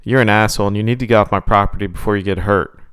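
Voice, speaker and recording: A man voicing a bold, determined wife who speaks in a sharp, insistent, raised tone. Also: fairly low pitch, fairly even intonation, very fast, clear, resonant tone, natural voice. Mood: defiant.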